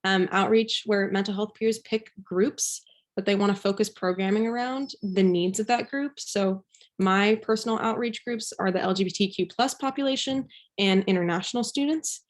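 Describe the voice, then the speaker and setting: A woman's voice: mid-range voice, moderate pace. A young woman from Belgium giving a casual, informal presentation as a student peer, with an enthusiastic manner. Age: young adult.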